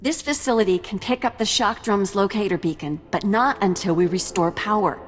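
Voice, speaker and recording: An actress playing an earnest research facility survivor in a video game, explaining things with concern. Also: female voice, mid-range voice, moderate pace, natural voice. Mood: worried. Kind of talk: video game cutscene acting. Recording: long hall reverb, music behind.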